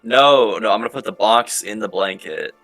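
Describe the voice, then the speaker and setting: A man's voice: fairly low pitch, moderate pace, gravelly, a bit bright, no echo, faint music behind. A man talking casually as a player in a tabletop roleplaying game.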